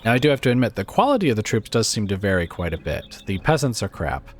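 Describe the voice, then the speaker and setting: Gameplay commentator, man, very low voice, raspy from illness, hesitant and musing, faint game music underneath. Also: sing-song, quick pace. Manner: musing, hesitant, thinks aloud with fillers.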